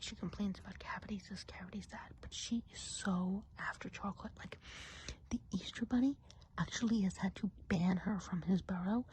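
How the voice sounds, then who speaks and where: A woman voicing a chatty woodland witch in an ASMR roleplay, whispering softly and breathily in a gossipy, confiding way. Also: medium pitch, natural voice, poor audio. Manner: gossipy, confiding, hesitant casual phrasing. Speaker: ASMR performer.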